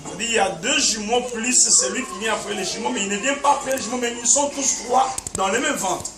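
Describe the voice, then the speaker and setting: A man's voice: high voice, brisk. A man preaching a teaching sermon as a pastor, with emphatic repetition and frequent chuckles, speaking French, which is not his first language. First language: a language other than French. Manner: emphatic, repeats words, chuckles often. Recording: echoey, distant mic, background noise.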